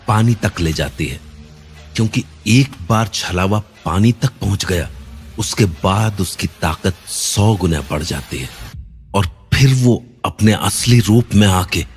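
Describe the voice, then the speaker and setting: Man, low voice, suspenseful storybook narration, faint music underneath. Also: slightly rough. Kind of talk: scripted horror story narration.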